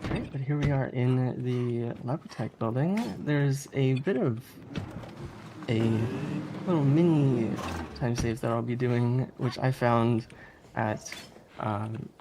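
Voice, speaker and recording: Speedrunner commentating over clearly noisy audio. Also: mid-range voice, slow pace. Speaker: speedrunner commentating on their own game run. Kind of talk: casual live speedrun commentary. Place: live streamed event, voice over gameplay audio.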